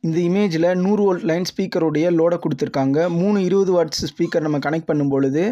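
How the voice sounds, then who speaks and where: A man's voice: a little high, quick pace, dark tone. A man narrating a scripted tutorial in a methodical, explanatory manner. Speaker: tutorial presenter. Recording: dull lo-fi audio.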